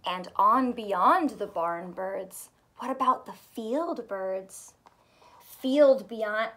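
Female children's read-aloud: high-ish voice, very unhurried pace, expressive sing-song teacher delivery. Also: slightly rough. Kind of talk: picture-book read-aloud. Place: small furnished room indoors.

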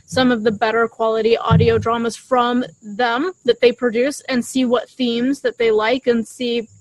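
A woman's voice: a little high, level tone, moderate pace, no room sound, low-quality recording. A young adult woman chatting casually as a podcast co-host, talking into a desktop microphone outdoors. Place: outdoors in a leafy garden. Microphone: desktop condenser microphone shared between two.

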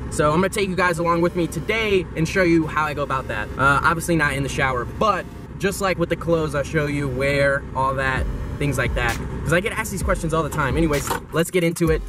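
A man's voice: fairly high pitch, a little fast, background noise. A young man talking casually to a handheld camera from inside his parked car, in a loose, joking, rambling way. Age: young adult. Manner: upbeat, rambling, jokey. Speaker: vlogger. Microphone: handheld camera at arm's length.

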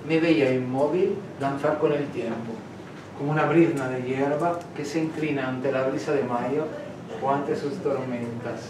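A man's voice: a little high, darkish tone. A man in his forties with an Italian accent, giving a talk in Spanish that is not his first language, gesturing and searching for words now and then. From Italy. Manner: gestures while talking, hesitant, searches for words. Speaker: guest speaker. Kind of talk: public lecture. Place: small lecture room in a civic centre. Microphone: far camera, no mic. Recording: echoey room from far off, noisy.

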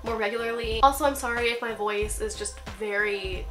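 Young woman talking to camera from her bed, bit of a cold, bright voice, soft music under it. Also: on the high side, fairly even intonation, a little fast. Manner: upbeat, chatty, lots of "like" and "um". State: getting over a cold. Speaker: lifestyle vlogger. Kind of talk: casual chatty tutorial. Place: bedroom in a small apartment, seated on bed.

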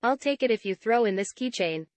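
A woman reading short English sentences slowly and clearly for learners, repeating each one evenly. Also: fairly high pitch, animated, dry recording.

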